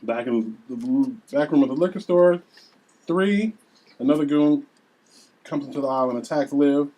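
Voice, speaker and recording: A man's voice: mid-range voice, normal speed, mellow, rough recording. A man narrating scene headings and stage directions at a script table read.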